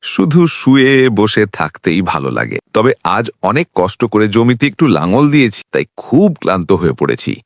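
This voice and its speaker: A man's voice: fairly low pitch, very animated, a little fast, dry recording, studio quality. A man voicing a lazy, simple village farmer in a cartoon story, with a whiny, reluctant manner. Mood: complaining, weary. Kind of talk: dubbed cartoon dialogue.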